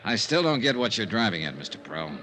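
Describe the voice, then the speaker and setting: An actor playing a brisk, skeptical American insurance investigator in an old-time radio mystery, with punchy, clipped, dramatic phrasing. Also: male voice, fairly low pitch, big pitch swings, bright, forceful tone, slight background noise, rough recording. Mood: probing, skeptical. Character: brisk, skeptical male insurance investigator, hard-boiled and wry. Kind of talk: old-time radio detective acting and narration. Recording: old radio recording, music bed.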